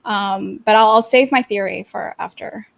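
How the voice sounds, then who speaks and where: A woman's voice: medium pitch, steady tone, normal speed, vocal fry, noisy background. A woman hosting a casual chat, feeling her way toward questions in hesitant, roundabout phrasing. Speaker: interviewer, talk host.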